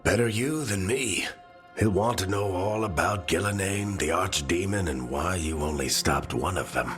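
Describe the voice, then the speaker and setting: A man's voice: deep voice, normal speed, a bit bright. An older male actor playing a weary, wry mentor in a video game, speaking dryly over music. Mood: wry, tired. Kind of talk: video game dialogue acting.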